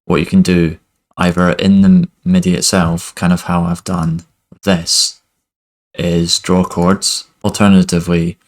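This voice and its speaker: Low, flat-voiced young man tutoring. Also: measured pace. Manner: relaxed, many fillers like "kind of" and "basically". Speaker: music production tutor. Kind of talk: casual screen-recorded tutorial.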